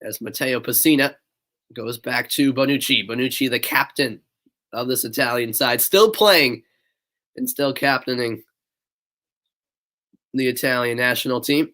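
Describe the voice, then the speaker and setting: A young man with a stuffy nose calls the match live at a steady clip, naming each pass and shot. He breaks off now and then to chat casually with viewers. His pitch sits in an ordinary middle range. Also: a little slow, pauses often. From northeastern United States. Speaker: play-by-play commentator. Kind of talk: live amateur sports commentary.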